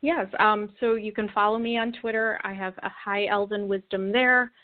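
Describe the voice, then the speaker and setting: A woman's voice: medium pitch, fairly even intonation, moderate pace. Earnest woman guest on a phone-like line. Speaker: interview guest. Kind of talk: conversational explanation. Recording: narrow phone-like call line.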